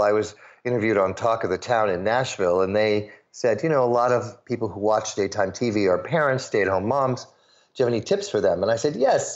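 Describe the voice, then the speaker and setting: A man's voice: medium pitch, muffled recording. A man from the US Midwest telling stories as an interview guest, acting out the voices of the people he quotes. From suburbs of Chicago, US Midwest. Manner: animated storyteller, acts out quoted voices. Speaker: guest, sales pitch coach.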